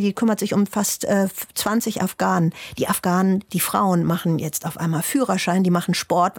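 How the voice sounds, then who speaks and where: A woman reporter recounting her reporting in a calm, reflective way, as a guest on a podcast. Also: mid-range voice, gravelly, dry recording, clean studio sound. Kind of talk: podcast conversation and narrated reportage.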